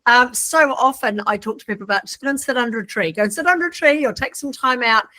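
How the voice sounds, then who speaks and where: A woman's voice: a little high, quick pace, pushed and bright. Effusive woman podcast host. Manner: enthusiastic, effusive praise. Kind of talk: podcast interview.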